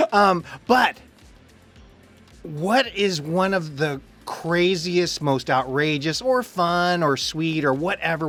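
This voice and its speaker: Higher-voiced playful male interviewer. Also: a bit bright. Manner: playful, bantering. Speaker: interviewer and fellow actor. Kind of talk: casual promotional interview hosting.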